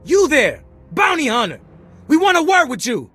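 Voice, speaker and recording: Hotheaded gangster in a game, high piercing voice, confrontational, out for revenge. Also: female voice, sing-song, moderate pace, fairly bright tone, soft background music. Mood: challenging. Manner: aggressive, confrontational. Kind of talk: video game cutscene acting.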